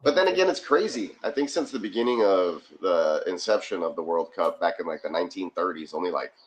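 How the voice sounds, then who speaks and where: A man co-hosting a casual sports watch-party livestream from his garage, chatty and quick to laugh. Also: low-pitched, animated, a little hiss or hum, rough recording. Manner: laughs often, rambling and chatty. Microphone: phone.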